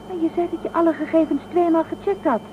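A woman's voice: extremely high pitch, steady tone, fast talker, phone-quality sound, slight background noise. An actress playing an assertive, resourceful woman on a spaceship crew in a Dutch science fiction radio drama. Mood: indignant, urgent. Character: tense, resourceful female space ferry crew member.

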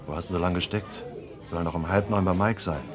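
An impatient, bossy accomplice in a radio crime play , played by a man. His voice is low and gruff, casual and pushy, with clear crowd chatter and music behind it. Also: steady tone, fairly quick, close to an everyday voice. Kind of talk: German radio crime drama acting.

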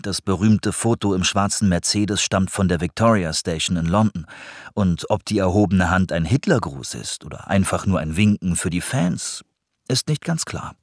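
A man's voice: low voice, moderate pace, no room sound, studio quality. A man narrating a scripted radio documentary.

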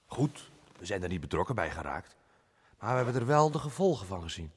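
An actor in a Dutch radio drama playing a brusque, self-important prime minister, formal and dismissive. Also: male voice, low voice, very animated, a little fast, slightly rough, in a large hall, heavily acted.

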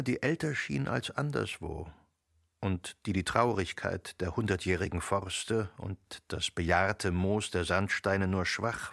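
Low, gravelly male literary narrator. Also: fairly bright tone, dry recording, studio quality.

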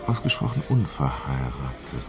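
Pensive village man, low voice, wry, sheepish, radio drama, music behind. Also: sing-song, normal speed, creaky, full character voice. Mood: hesitant, defensive. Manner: hesitant, wry. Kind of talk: naturalistic radio drama acting.

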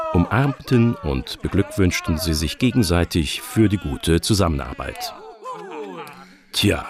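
A man narrating a children's radio play in a scripted storytelling style. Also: sits low.

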